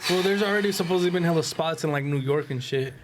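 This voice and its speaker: A man's voice: on the high side, fast talker, noisy background. A young man arguing casually with friends on a podcast, insistent, slangy and profane. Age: young adult. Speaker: podcast co-host. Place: small room podcast studio. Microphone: podcast microphone. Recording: busy chatter behind voice.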